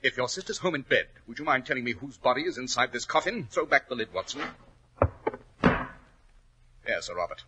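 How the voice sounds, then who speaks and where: Confident detective, crisp authority, animated intonation, forceful tone, dry irony, 1940s radio acting. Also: male voice, mid-range voice, moderate pace, long pauses, a little hiss or hum. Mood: coolly accusing. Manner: crisp, authoritative, formal, dryly ironic. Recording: old 1940s radio recording.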